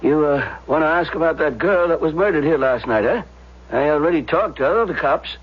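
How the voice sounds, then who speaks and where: An actor playing a shifty working-class motel manager, husky and evasive, with ungrammatical street talk, in 1970s radio drama style. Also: male voice, on the high side, lively intonation, brisk. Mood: evasive, nervous. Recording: dull vintage radio sound.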